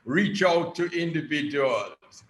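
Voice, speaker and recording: A man's voice: on the high side, lively intonation, takes it slowly. A man with a Jamaican accent giving public health advice as an expert speaker, recorded in an echoey room. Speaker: doctor, guest expert. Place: press event in a room. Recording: echoey room with faint noise.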